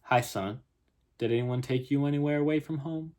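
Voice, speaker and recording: A patient Texan policeman in a story reading, voiced by a man. He is polite and measured, yet sceptical and probing in his questions. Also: medium pitch, darkish tone, close to an everyday voice.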